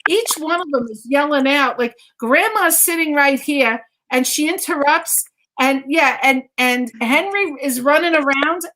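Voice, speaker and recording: A woman's voice: high-pitched, a little slow, pushed and bright, a little hiss or hum, rough recording. A woman giving a conversational live mediumship reading, insistently repeating phrases and relaying messages as quotes.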